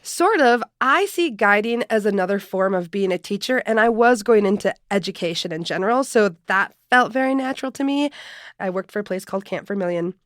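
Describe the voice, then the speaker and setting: An expressive woman in her late thirties or forties, an outdoor guide telling stories as a podcast guest. Her pitch sits mid-range, and the recording is dry and close, with no room echo. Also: fairly quick. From born in Ecuador, raised in Minnesota. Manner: expressive, animated storyteller. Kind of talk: podcast interview, storytelling.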